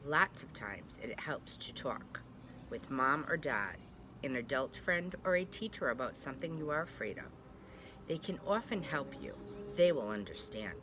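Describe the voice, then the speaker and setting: A woman preschool teacher reading a storybook aloud to young children, gently and reassuringly, with chatty asides. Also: sits low, background noise. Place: at home.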